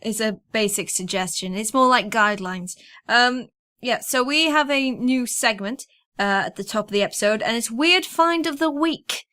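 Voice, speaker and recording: Higher-voiced woman hosting a podcast. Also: animated, moderate pace, few pauses, sharp tone, no room sound. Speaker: podcast host. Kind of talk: casual chat.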